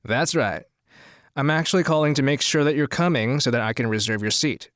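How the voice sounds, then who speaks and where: An actor playing a persuasive, scheming rich promoter in an audio drama, talking in a businesslike way. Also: male voice, mid-range voice, brisk, a bit bright, no echo, studio quality, close to an everyday voice. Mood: pleased.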